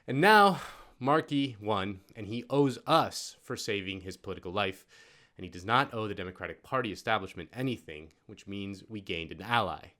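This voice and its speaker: A man's voice: fairly low pitch, expressive, moderate pace, a bit bright. A man hosting a casual political talk show, wry and blunt, often laughing. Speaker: host.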